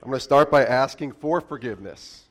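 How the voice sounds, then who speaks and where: A man in his forties, originally from upstate New York, preaching a church sermon in a raspy, cold-roughened voice and joking with the congregation. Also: fairly quick. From Albany, New York. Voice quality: raspy, deeper than usual. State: hoarse from a cold. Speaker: guest preacher. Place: church sanctuary.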